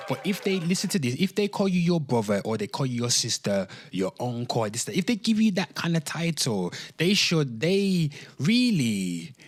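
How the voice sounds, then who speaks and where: A man speaking casually and emphatically in an off-the-cuff rant, with slang, swearing and repeated phrases, talking straight to his listeners. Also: medium pitch. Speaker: host of a pop culture commentary show. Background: music.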